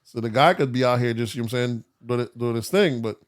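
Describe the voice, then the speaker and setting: A man's voice: mid-range voice, quick pace. A man co-hosting a casual podcast, giving his opinions in a slangy, profane, rambling way. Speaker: podcast co-host.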